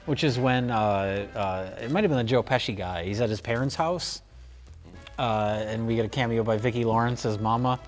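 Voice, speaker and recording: A man's voice: medium pitch, moderate pace, faint music behind. A man in his thirties co-hosting a casual movie chat, dropping dry, wry asides in a dim studio. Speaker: co-host. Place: dim studio set with curtains.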